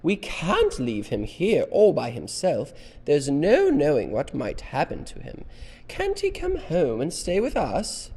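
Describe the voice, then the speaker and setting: A storybook reader voicing an excitable, high-voiced mother who exclaims emphatically, scolding yet kind. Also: takes it slowly, vocal fry, big-room sound, heavily acted. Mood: pleading, excited. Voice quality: high, shrill.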